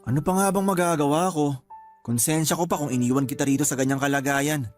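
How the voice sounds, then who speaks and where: A man's voice: fairly high pitch, fast talker, soft background music, close to an everyday voice. A man playing a sulky, complaining young boyfriend in a radio drama, whiny and exasperated in manner. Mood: grudging, self-pitying. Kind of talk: Tagalog radio drama acting.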